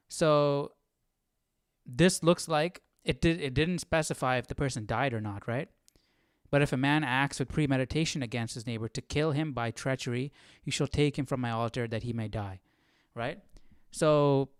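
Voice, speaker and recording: A man with a clear, smooth voice talks casually as a live study host. He thinks aloud in a hesitant, searching way and often ends a thought with a questioning tag. The sound is dry and close, with no room ring. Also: mid-range voice, dry recording. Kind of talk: casual reaction commentary.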